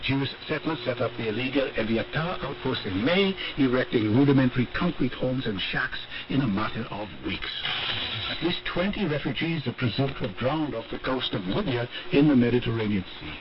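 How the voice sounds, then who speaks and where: A man reading a scripted international news bulletin in a formal, measured way, heard over shortwave radio. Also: medium pitch, expressive, highs cut off. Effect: shortwave radio reception. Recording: noisy, band-limited shortwave radio broadcast. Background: radio static and noise.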